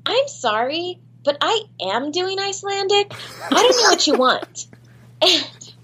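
A woman's voice: extremely high pitch, big pitch swings, a little slow, a bit bright. Chatty woman telling stories. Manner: chatty, self-deprecating, many fillers and restarts. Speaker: voice actress guest. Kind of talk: casual interview storytelling.

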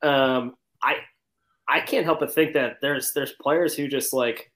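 A man's voice: mid-range voice, fairly even intonation, unhurried, fairly bright tone. A man speaking as a panelist in a casual sports podcast discussion.

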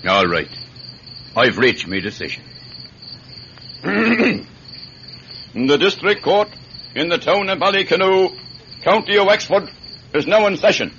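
An actor playing a stern, exasperated country judge, formal and skeptical, in a radio drama. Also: male voice, high-pitched, sing-song, unhurried, long pauses, a bit bright, exaggerated voice. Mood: solemn. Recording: muffled, slightly noisy old-style radio recording.

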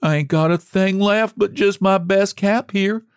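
A man's voice: sits high, a little slow, clear, resonant tone, dry recording, studio quality, voice pushed up. A man voicing a despairing, stubborn older country woman who laments over and over in rustic dialect. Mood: wailing despair. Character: wailing, helpless older country mother-in-law, despairing and stubborn.

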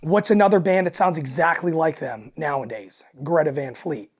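Raspy young male musician from Delaware, animated guest who stumbles and restarts. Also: a little high, normal speed. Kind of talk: casual interview chat.

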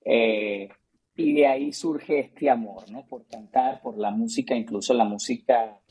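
A man's voice: medium pitch, unhurried, darkish tone, slightly rough. A Venezuelan man in his thirties, a singer-songwriter being interviewed, answering in a gracious, earnest way with many repeated words and fillers. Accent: Venezuelan Spanish. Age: 30s.

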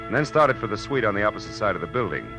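Hardboiled american detective from 40s radio, really deep voice, dry and world-weary, music under it. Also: male voice, big pitch swings, racing. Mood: wry narrating. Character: hard-boiled male private detective, dry, unflappable, world-weary. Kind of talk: 1940s radio detective acting, first-person narration. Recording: old radio recording with music.

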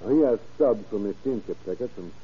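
An actor playing a tough, wisecracking police captain in 1930s radio crime drama, brusque, slangy and commanding. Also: male voice, lowish voice, lively intonation, brisk, slightly rough. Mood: businesslike. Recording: muffled, lo-fi old radio recording.

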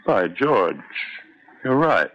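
An actor playing an irritable, domineering husband who scolds impatiently, in old-time radio drama style. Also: male voice, on the low side, very animated, extremely slow, heavily acted. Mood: scornful. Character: irritable, domineering husband, scornful rose grower. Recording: muffled, noisy old radio recording.

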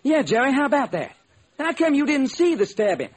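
A man's voice: extremely high pitch, big pitch swings, a little fast. A man playing an eager, plodding police lieutenant in an old-time radio crime drama. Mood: eager, businesslike.

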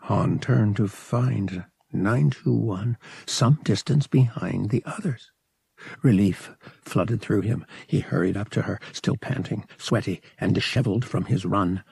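A man's voice: lowish voice, unhurried, vocal fry, darkish tone, husky, no room sound. A man narrating fiction as a scripted, dramatic audiobook reading.

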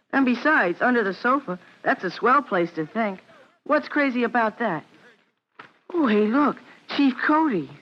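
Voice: medium pitch, close to an everyday voice. A performer playing a cheeky, curious American schoolboy in an old radio drama, chatty and matter-of-fact. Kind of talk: 1940s-50s American radio drama acting. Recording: muffled old radio recording.

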